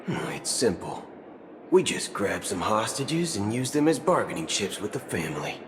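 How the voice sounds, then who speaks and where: Brash cyborg gunslinger. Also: male voice, lowish voice, very animated, moderate pace, noisy background. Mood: scheming. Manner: swaggering, mocking, swaps curses for mild words. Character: brash cyborg gunslinger man, hot-tempered and scheming. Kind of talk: video game voice acting. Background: game music.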